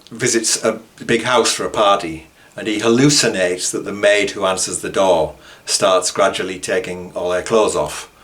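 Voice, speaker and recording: Low-pitched man presenting. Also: a bit bright. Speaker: author and presenter. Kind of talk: informal documentary commentary.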